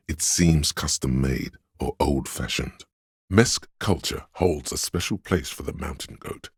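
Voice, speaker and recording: An actor voicing an erudite, worldly inner voice of knowledge in a video game, narrating facts in a measured, dry, scholarly way. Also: male voice, rumbling low, slightly rough, no echo, heavily acted.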